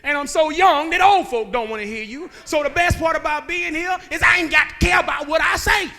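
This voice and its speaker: A man, preaching a sermon that moves between joking talk and shouted calls to the men. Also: extremely high pitch, fairly quick, creaky, soft background music. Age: 30s. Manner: conversational preaching that builds to shouting, with laughs and rhetorical questions. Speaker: guest preacher. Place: church sanctuary.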